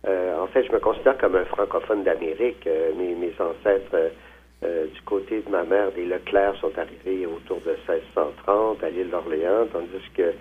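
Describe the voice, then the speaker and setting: A man's voice: low voice, normal speed, slightly rough. A man, a novelist, speaks hesitantly in a phone interview, with many fillers and restarts. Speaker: novelist guest. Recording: tinny phone line.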